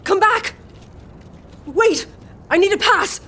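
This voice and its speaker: An actress playing the desperate wife of a condemned rebel in an emotional audio drama. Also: female voice, very high-pitched, expressive, takes it slowly, a bit bright, full character voice. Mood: frantic pleading.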